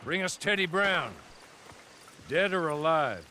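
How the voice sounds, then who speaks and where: Animated, scheming gentleman fixer. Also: fairly high pitch, takes it slowly, a little hiss or hum, heavily acted. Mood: brisk, wry. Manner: formal, persuasive. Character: smooth-talking, scheming gentleman fixer, man. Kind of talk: game cutscene acting.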